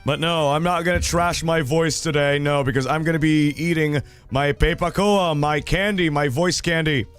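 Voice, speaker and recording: A man chatting casually on a livestream, hoarse today, loud in his greetings and swearing freely. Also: a little high, fairly even intonation, moderate pace, hardly any pauses, soft background music. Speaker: streamer.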